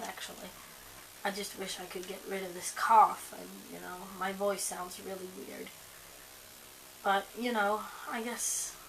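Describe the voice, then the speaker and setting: A young woman with a hoarse voice from a cold, chatting casually to camera at home, rambling with lots of ums and likes. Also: medium pitch, a bit bright, a little hiss or hum, rough recording. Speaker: vlogger.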